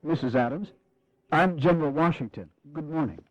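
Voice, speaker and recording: An actor playing a grave, courteous American Revolutionary general in an audio drama, speaking in a measured, formal way. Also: male voice, on the high side, background noise, lightly acted. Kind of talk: dramatized history audio acting. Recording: dull, lo-fi 1980s audio drama.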